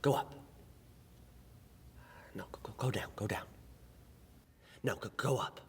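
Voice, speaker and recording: A deadpan amateur filmmaker. His voice is very deep, heard in a reverberant hall with faint rumbling noise. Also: measured pace. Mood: fussy. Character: deadpan amateur documentary maker.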